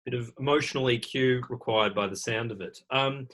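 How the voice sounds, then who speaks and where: A man with a very bright, forward voice hosts a webinar Q&A on a video call. His delivery is informal and a little halting as he passes questions along, at a middle pitch. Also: a little hiss or hum. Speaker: moderator of an online panel. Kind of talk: hosting a live webinar Q&A.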